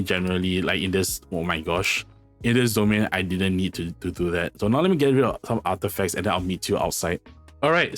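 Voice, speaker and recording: A fairly low-pitched man with a slightly hoarse voice, talking informally through a game guide. He rambles and corrects himself often. Faint music plays behind him. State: losing his voice, slightly hoarse. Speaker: gaming channel host. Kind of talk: casual game guide commentary.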